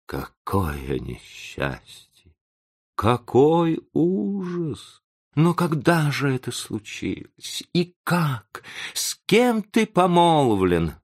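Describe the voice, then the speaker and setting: A man's voice: low-pitched, big pitch swings, vocal fry, fairly bright tone, full character voice. A man playing a kindly, wounded old professor of seventy in an audiobook story, plaintive and emotional, full of questions. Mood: stunned, plaintive. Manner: halting, plaintive, rhetorical questions.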